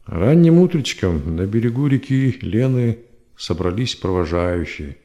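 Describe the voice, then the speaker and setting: Deep mellow grandfather storyteller. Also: big pitch swings, unhurried. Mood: reflective. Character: grandfather telling history to his grandson. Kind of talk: storytelling narration in audio drama.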